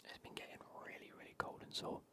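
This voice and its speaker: A man chatting in a whisper while streaming a game, with a cold coming on. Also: fast talker. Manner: whispered, chatty, repeats phrases. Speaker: gaming streamer. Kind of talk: whispered ASMR gaming livestream.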